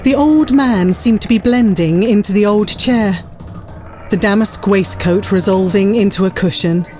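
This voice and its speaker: A woman narrating a classic story in a measured, literary storytelling manner. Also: mid-range voice, warm tone, faint music behind.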